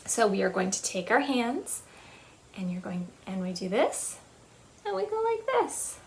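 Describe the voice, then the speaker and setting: A woman's voice: mid-range voice, very animated, a little slow, vocal fry, slight background noise. A woman in her thirties leading a children's storytime in a small room, talking in a sing-song, teacherly way and singing simple songs with hand gestures. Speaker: children's librarian. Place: small playroom with shelves, indoors.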